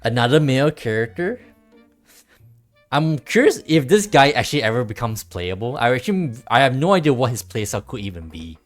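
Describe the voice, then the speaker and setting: Male game streamer, medium pitch, casual musing commentary, faint music behind. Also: big pitch swings, a little fast.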